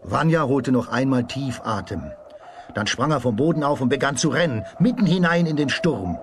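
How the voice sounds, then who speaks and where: A man with a husky voice narrating a children's story with dramatic emphasis. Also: medium pitch, animated, moderate pace, a little hiss or hum. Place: dry studio.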